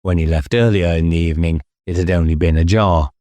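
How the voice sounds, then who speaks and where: A man's voice: deep voice, steady tone, brisk, mellow, no echo. A man narrating a novel dramatically as an audiobook reader, close to the microphone.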